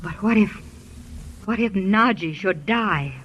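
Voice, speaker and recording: A woman's voice: mid-range voice, animated, slow pace, fairly bright tone. A woman acting a curious, determined married heroine in a vintage radio drama, with an eager, emphatic delivery. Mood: uneasy, questioning. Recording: muffled, noisy old radio broadcast.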